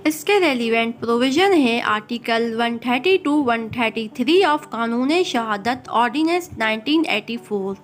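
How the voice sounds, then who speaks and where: A woman giving an exam-focused law lecture. She reads English notes slowly and then explains each point in Hindi-Urdu. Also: fairly high pitch, expressive, slight background noise.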